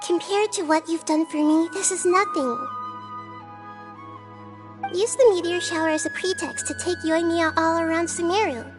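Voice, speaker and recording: Game role: wise child deity, high girlish voice, measured and gentle, clear music bed behind. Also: fairly even intonation. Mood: helpful, reassuring. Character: small, gentle, wise childlike goddess girl. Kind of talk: scripted video game voice acting.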